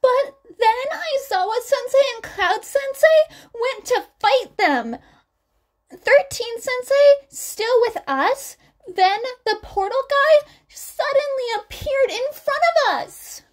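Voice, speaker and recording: Woman voicing a shrill, excitable teenage schoolgirl. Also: slow, exaggerated voice. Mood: frightened, excited. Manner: breathless gushing, almost squealing.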